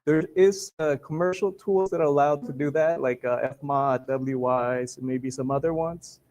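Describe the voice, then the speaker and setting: A man from Guatemala, a software engineer, giving a technical conference talk in a hesitant way full of "uh" fillers. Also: a little high, normal speed. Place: conference stage.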